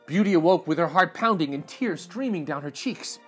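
A man in his thirties reading a fairytale aloud to children in expressive narration. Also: on the high side, fairly quick, slightly rough, soft background music. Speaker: children's story reader. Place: small furnished room indoors.

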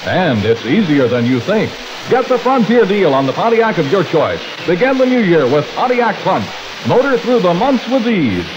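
A man with an American accent delivering a scripted 1960s radio car commercial as an announcer. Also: high voice. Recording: dull, noisy 1960s AM radio aircheck.

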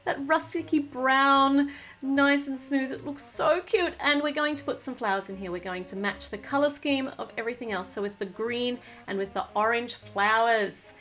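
A woman's voice: high voice, normal speed, a bit bright. A woman casually narrating a toy build at a table, thinking aloud and gushing over the cute little details.

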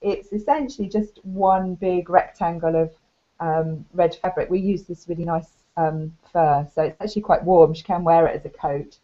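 Woman w a soft dark low voice, casual craft chat, modest, dull video call sound. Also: steady tone, normal speed. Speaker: craft blogger. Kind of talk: casual craft show-and-tell. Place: plain room at home. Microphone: webcam video call.

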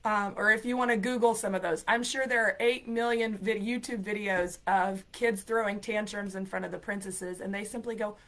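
A woman hosting a livestream, chatty and playful, her voice raspy from a cold. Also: medium pitch, fairly even intonation, normal speed, hardly any pauses. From United States. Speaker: ESL program coordinator and show host. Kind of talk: livestream talk and storytelling. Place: decorated home room. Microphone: laptop webcam, video call framing.

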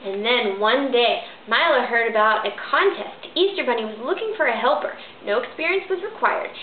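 A woman's voice: fairly quick, a little hiss or hum. Woman reading a picture book aloud. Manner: lively, expressive read-aloud with high character voices. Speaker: storybook reader. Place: bedroom at home, indoors. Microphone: camera in front. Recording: echoey, phone-like thin sound.

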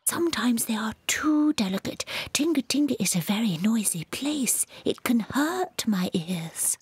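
A woman voicing a gentle, fretful, noise-shy mole in a children's cartoon, delicate and often hushed. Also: on the high side, animated, unhurried, breathy edge, no echo, exaggerated voice. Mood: hushed wonder.

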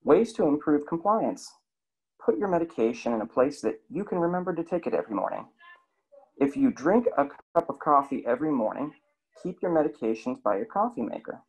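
A man narrates a clinic's health lesson in a scripted, explanatory way, often turning to the listener with questions. His voice is gravelly and a little husky. His pitch moves up and down a lot. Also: big pitch swings, fairly quick, low-quality recording.

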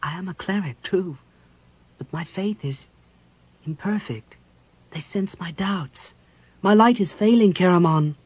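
A woman's voice: fairly low pitch, slow pace, pauses often, breathy edge, pitched above the performer's own voice, full character voice. A performer voicing an earnest, devout young woman cleric, breathless and agitated, in a dramatic fantasy audiobook. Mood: fearful, urgent.

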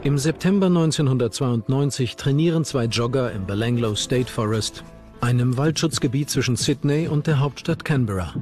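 A man's voice: mid-range voice, normal speed. A man reading scripted narration for a true-crime documentary. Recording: narration over background music.

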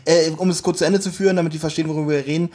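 Higher-pitched, slightly husky man. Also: steady tone, rapid-fire, darkish tone. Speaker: podcast co-host. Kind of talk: casual podcast chat retelling an audio drama.

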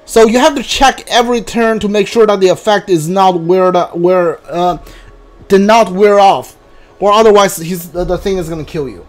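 High-voiced man, halting game commentary. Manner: halting, often repeats himself. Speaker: game streamer. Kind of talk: casual live playthrough commentary. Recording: steady background noise.

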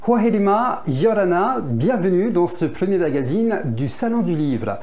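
A man's voice: high voice, big pitch swings, unhurried, darkish tone. A man in his thirties presenting a magazine show to camera in a scripted style. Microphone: lapel mic.

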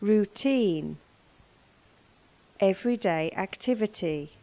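A woman's voice: mid-range voice, lively intonation, takes it slowly, mellow, no echo, highs cut off. A woman giving scripted lesson narration, reading vocabulary and a news report. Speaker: language lesson presenter.

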